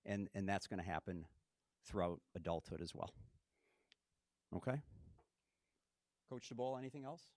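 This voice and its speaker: A man's voice: sits low, lively intonation, normal speed, long pauses, a little mellow, no echo. A man, the head coach, giving an earnest speech to his team at a ring ceremony, often checking in with "okay?".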